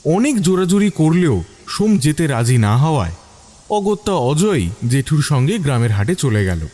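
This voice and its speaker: A man narrating a scripted suspense story with deliberate phrasing and dramatic pauses. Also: a little high, very animated, mellow.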